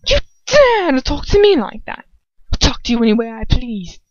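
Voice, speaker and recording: A woman's voice: high-pitched, big pitch swings, moderate pace, no room sound, dull audio, pitched above the performer's own voice. A woman voicing a cold, haughty, contemptuous man in a story reading, with a curt and drawling manner. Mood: angry, contemptuous.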